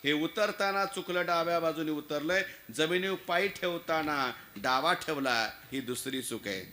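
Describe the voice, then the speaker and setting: Man playing a shrewd envoy, bright voice. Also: fairly high pitch, unhurried, a little hiss or hum. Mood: assured, exacting. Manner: explanatory, point by point. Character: shrewd, observant envoy of a khan, methodically listing mistakes.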